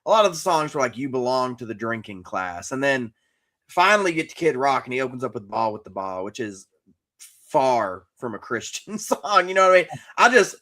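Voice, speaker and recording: A man co-hosting a talk podcast, sharing casual opinions in a measured, explanatory way. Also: a little high, bright, forceful tone. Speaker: podcast co-host.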